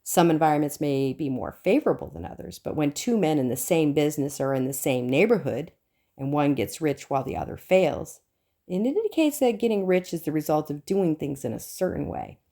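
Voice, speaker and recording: Low-voiced, expressive woman reading an audiobook. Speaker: audiobook reader. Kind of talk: scripted audiobook narration of a self-help book.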